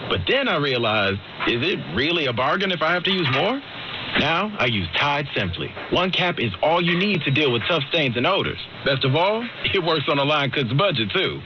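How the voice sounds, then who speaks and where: An actor playing a down-to-earth, wry and practical line cook in a radio commercial testimonial. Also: male voice, a little high, sing-song, moderate pace, noisy background. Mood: wry, upbeat. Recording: dull lo-fi radio with loud music.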